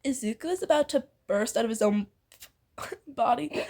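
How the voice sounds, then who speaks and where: A woman's voice: on the high side, moderate pace, darkish tone. A woman reading fan fiction aloud informally, giggly and theatrical, often breaking off into banter.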